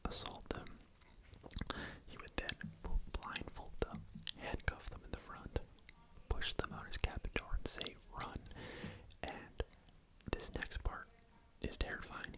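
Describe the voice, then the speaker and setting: Man whispering a true crime story. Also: poor audio. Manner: unvoiced whisper throughout, casual with restarts. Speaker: ASMR true crime host.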